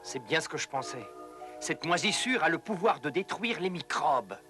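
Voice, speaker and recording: A man voicing an earnest, thoughtful doctor and researcher in an educational cartoon, speaking in a measured, explanatory way. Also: fairly high pitch, very animated. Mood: quietly intrigued. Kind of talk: French-dubbed educational cartoon acting. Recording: music under the voice.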